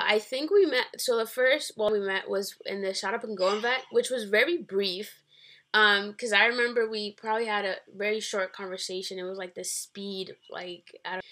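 A woman's voice: mid-range voice, pushed and bright. A woman in her twenties from the Dominican Republic and New York, chatting casually as a guest in a hesitant, rambling way. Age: 20s. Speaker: podcast guest. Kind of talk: casual chat.

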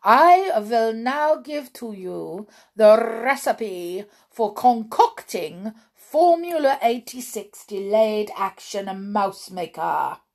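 Grand High Witch, read by a woman. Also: lively intonation, a little mellow. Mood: scheming. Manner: slow, emphatic, theatrical pronouncements. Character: commanding female witch leader, ruthless and vain. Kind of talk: audiobook character reading.

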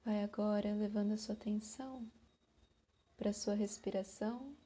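A Brazilian woman guiding a meditation in a soothing, unhurried manner, with long pauses between short phrases. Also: mid-range voice, fairly even intonation, mellow, breathy edge. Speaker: meditation guide. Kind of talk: slow guided meditation.

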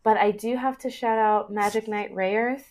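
A woman's voice: mid-range voice, steady tone, moderate pace, darkish tone. Chatty, enthusiastic young woman, podcast guest. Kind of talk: casual chat about anime.

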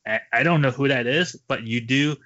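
Male podcast co-host, mid-range voice, casual and halting movie chat, slightly dull lo-fi sound. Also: mid-range voice, bright, forceful tone.

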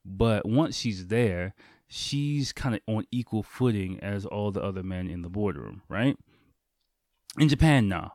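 A man's voice: lowish voice, expressive, unhurried, a little mellow, no echo, clean studio sound. A man hosting a podcast solo, talking in an informal, conversational way with casual swearing. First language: English. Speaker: podcast host.

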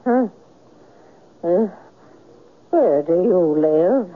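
Shrewd kindly pawnbroker, insistent, slow, old-time radio drama, tinny vintage recording. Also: male voice, high voice, animated, exaggerated voice. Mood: haggling, gruff. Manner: blunt, questioning, insistent. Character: shrewd but kindly pawnbroker, blunt and fatherly.

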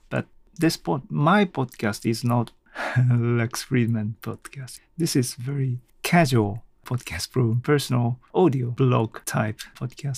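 A man talks casually and alone as a podcast host, taking his time over each phrase. His voice has a creaky, gravelly edge and is recorded dry, with very little room sound. He is hesitant, often searching for the next word. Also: mid-range voice, no echo. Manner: halting, hesitant, searching for words. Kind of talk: casual solo audio blog.

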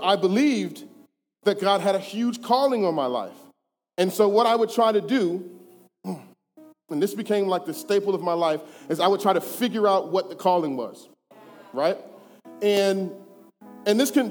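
A man in his thirties shares his testimony in a church hall, his slightly high voice ringing with long reverb. Soft music plays faintly behind him. He talks in an earnest, conversational way that swings from hushed to emphatic. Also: lively intonation, moderate pace. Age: 30s. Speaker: church speaker giving testimony. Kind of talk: personal testimony.

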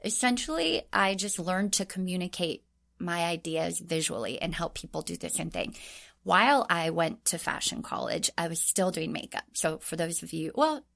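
A woman in her thirties from Southern California, a beauty coach sharing a personal testimony in a podcast interview with animated, expressive storytelling. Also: lowish voice, moderate pace, creaky.

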